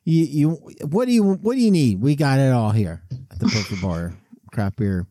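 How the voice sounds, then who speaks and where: Man, mellow voice, dry close sound, joking host, rambling. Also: mid-range voice, lively intonation, moderate pace, no echo. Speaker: podcast host. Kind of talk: casual chat.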